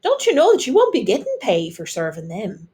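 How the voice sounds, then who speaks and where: A woman's voice: a little high, huge pitch swings, brisk, warm tone. A woman voicing a worldly-wise, scoffing Irish immigrant housemaid in a storybook, emphatic and knowing. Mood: scornful, knowing.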